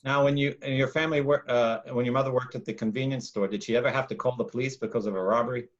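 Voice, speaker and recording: A man, a monk hosting a casual talk, telling a long personal story in a loud, emphatic, rambling way. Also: medium pitch, fairly even intonation, fast, highs cut off. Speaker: podcast host, monk.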